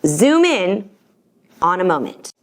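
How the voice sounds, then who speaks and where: A middle-aged woman presenting an instructional talk with anecdotes, speaking emphatically with deliberate pauses on key words. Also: on the low side, big pitch swings. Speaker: storytelling coach and presenter.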